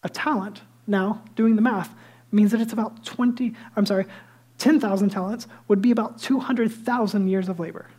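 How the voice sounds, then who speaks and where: Male pastor, high light voice, lively conversational sermon, hushed asides. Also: moderate pace, husky. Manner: lively storytelling that drops to hushed, near-whispered asides; self-deprecating and conversational.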